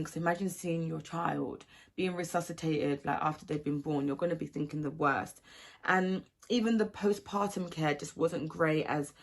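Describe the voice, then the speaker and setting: A young woman from Ghana, a mother giving a personal testimony of her birth story, speaking earnestly and steadily with frequent ums. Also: sits low.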